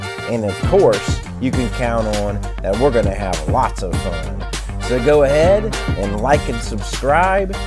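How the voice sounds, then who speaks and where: Male kids' fitness presenter, mid-pitched, animated and upbeat, loud music underneath. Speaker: host of a kids' fitness show. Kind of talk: scripted show intro to viewers.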